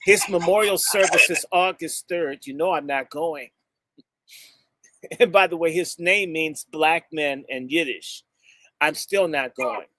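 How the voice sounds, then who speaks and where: A man from the United States speaks to a live gathering in a high, bright, cutting voice, close to the mic and dry. He is fiery and blunt, drawing out key words for weight. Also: measured pace, no echo, poor audio. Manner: blunt and insulting, jumps between topics. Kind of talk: impassioned speech to a live audience.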